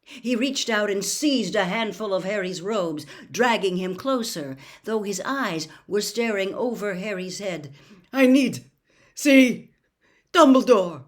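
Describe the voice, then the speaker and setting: A woman's voice: medium pitch, lively intonation, measured pace. A woman reading a novel aloud as scripted storybook narration. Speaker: audiobook reader.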